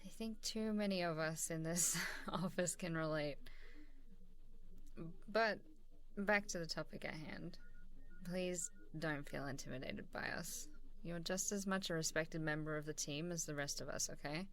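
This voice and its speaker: A woman playing a warm, supportive, easygoing boss in a casual, encouraging ASMR role-play. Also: deep voice, measured pace. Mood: reassuring, encouraging. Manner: encouraging, casual, chuckling hesitantly between phrases. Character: supportive, warm, easygoing female boss giving a praising review. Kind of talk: ASMR role-play, softly conversational one-sided dialogue.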